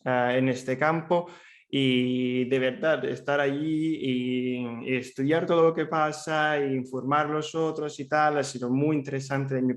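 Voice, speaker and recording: A young man from Rome speaks with a clear Italian accent in a voice of middling pitch. He gives a friendly, loosely paced conference presentation in a language that is not his first. He often pauses on fillers and starts phrases over. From Italy, Rome. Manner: friendly and chatty, with many fillers and repeated restarts. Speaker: cyber threat intelligence analyst presenting at a summit.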